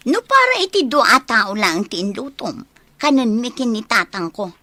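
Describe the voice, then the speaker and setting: High-voiced sassy village daughter. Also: female voice, big pitch swings, normal speed, pushed and bright, dry recording, exaggerated voice. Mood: sassy, irritated. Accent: Ilocano. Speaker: radio drama actress. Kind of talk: Ilocano comedy radio drama acting.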